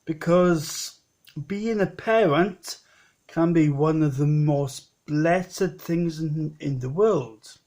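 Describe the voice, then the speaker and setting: Guy late 30s, slow, repeats himself a lot, talking to the camera. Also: a little high, a little mellow. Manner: rambling, repeats himself and restarts, plain-spoken. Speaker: vlogger. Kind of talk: personal vlog monologue.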